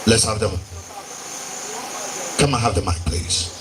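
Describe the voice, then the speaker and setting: A man's voice: lowish voice, unhurried. A man with a Nigerian Igbo accent hosting a live stage event as master of ceremonies, switching between Igbo and English in showy announcer phrasing. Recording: noisy live event over a microphone.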